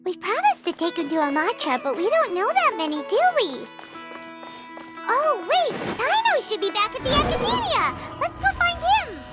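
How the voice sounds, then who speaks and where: A woman voicing a small, childlike floating fairy companion in a video game, squeaky, chatty and earnest. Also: very animated, normal speed, loud music behind the voice. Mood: eager. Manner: chatty, refers to self by name.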